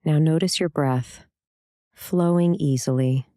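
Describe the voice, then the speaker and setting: Mellow, smooth meditation guide. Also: medium pitch, no room sound, clean studio sound. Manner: calm, soothing, gentle delivery with long pauses.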